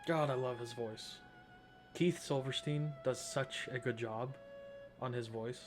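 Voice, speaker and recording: Casual male gamer commentating over soft music. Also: medium pitch, fairly even intonation, measured pace. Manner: informal, reactive, muttering asides. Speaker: gaming video host. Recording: soft game music behind.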